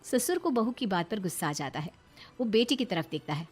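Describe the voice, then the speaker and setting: A woman's voice: medium pitch, very animated, a little fast, husky, faint music behind. A woman reading scripted, dramatic narration for a moral story.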